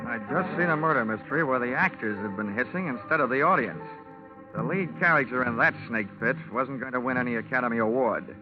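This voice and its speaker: An actor playing a wry, hard-boiled insurance investigator, dry and wisecracking, in a 1940s radio detective drama. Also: male voice, medium pitch, fairly quick, over loud music, natural voice. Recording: dull vintage radio recording.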